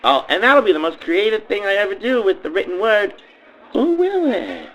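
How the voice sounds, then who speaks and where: A man's voice: sits high, wildly swooping pitch, moderate pace, fairly bright tone, lo-fi sound, heavily acted. A man playing a vain, melodramatic young Southern pageant queen with a theatrical, gushing delivery in a comic radio play. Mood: anxious, gushing. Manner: theatrical, gushing, shrieking when upset.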